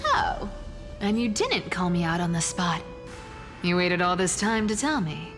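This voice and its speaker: A woman's voice: lowish voice, big pitch swings, unhurried, a bit bright. A woman playing a sly, teasing trickster demigod in a game, with a playful drawl. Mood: playful, amused. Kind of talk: scripted game drama acting. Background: music.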